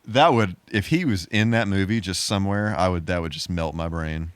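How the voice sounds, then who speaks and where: A man's voice: low-pitched, normal speed, clear, resonant tone, no echo. A man co-hosting a podcast in casual, slightly hesitant and rambling conversation. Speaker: podcast co-host.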